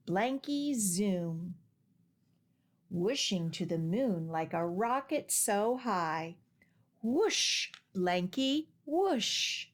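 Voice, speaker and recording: A woman reading a children's picture book aloud with expressive, deliberate and rhythmic phrasing. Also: on the high side. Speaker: children's storytime reader.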